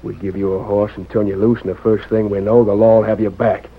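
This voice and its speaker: An actor playing a sly, mercenary crook in an old radio western, with an American accent and sardonic folksy slang. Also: male voice, fairly low pitch, level tone, quick pace, slight background noise, natural voice. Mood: bossy. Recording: thin, phone-like old radio broadcast.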